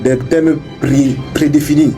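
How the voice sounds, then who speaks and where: A young man, an English speaker by birth, guesting on a radio interview and talking quickly with many halting restarts. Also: a little high, flat delivery, dark tone, faint music behind. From Cameroon. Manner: fast, halting talk with many restarts and repeated words. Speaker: radio guest, crypto entrepreneur. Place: radio studio.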